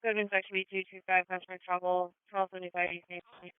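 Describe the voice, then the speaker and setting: Quick american dispatcher on police radio, super clear but thin band, clipped and procedural. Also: medium pitch, little pitch movement, dry recording. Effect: two-way radio. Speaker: emergency dispatcher. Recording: narrowband police scanner radio feed.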